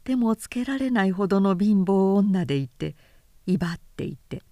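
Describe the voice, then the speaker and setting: A woman narrator plays a haughty noblewoman of a classic tale. Her voice is mellow and dry, her manner grumbling and full of contempt. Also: medium pitch, lively intonation, moderate pace, dry recording, close to an everyday voice. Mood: scornful. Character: scornful, haughty noblewoman aunt, belittling a poor relation.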